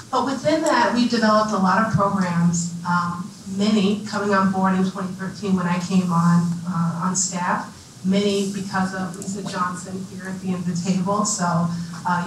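Woman panelist in an echoey hall. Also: lowish voice. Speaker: business development consultant. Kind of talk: panel introduction. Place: large conference hall with audience. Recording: echoey hall from far off, room noise.

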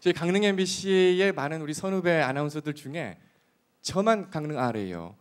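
A man's voice: on the high side, lively intonation, brisk. A man in his forties from Gangneung, a news anchor chatting politely on a TV variety stage, good-humored as he explains his local dialect with examples. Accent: Gangneung dialect of Korean. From Gangneung, Korea. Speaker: news anchor and guest singer. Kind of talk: TV variety show chat. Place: indoor TV stage with band. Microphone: handheld mic.

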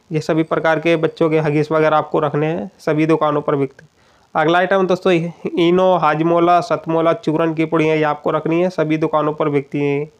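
Steady, smooth voice-over by a man. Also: a little high. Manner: steady list-like delivery, repeats "friends" addressing viewers. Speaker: grocery shop advice presenter. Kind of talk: voice-over listing shop items.

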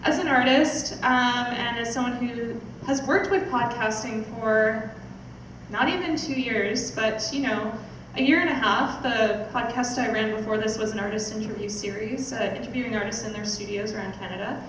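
A woman's voice: fairly high pitch, moderate pace, fairly bright tone. Young woman chatting to a crowd from stage, hesitant n casual, really echoey, noisy, far from mic. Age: young adult. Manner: casual, self-deprecating, hesitant. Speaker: podcast producer hosting launch event. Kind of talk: informal speech to an audience. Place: small theatre stage, indoors. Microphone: stand mic, picked up by distant camera.

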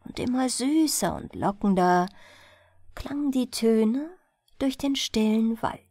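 Langsam und lebhaft vorlesende Frau. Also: female voice, on the high side, slow, no echo, clean studio sound. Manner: expressive storytelling. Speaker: audiobook narrator. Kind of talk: bedtime fairy-tale reading.

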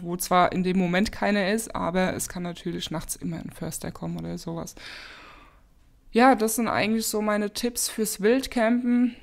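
Podcast host, woman, slightly sniffly from a cold, relaxed rambling advice. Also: mid-range voice. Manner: chatty, many fillers and restarts. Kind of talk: casual advice talk.

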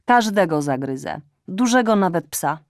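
A woman's voice: on the low side, expressive, moderate pace, darkish tone, no echo, studio quality. A woman voicing a servile, cheeky male talking cat in a spoken story. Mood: fawning.

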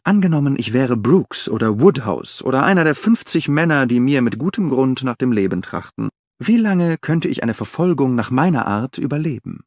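A man's voice: mid-range voice, normal speed, no room sound, studio quality. Man doing a restless, sardonic detective. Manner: animated, sardonic, declaiming. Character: restless, impatient middle-aged male detective. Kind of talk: audiobook character voice.

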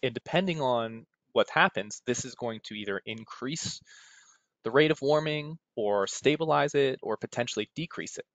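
A man's voice: mid-range voice, normal speed, fairly bright tone. A man with an American accent gives an informal technical webinar presentation in a conversational way, often checking in with "right?". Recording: roomy, dull lo-fi webinar audio.

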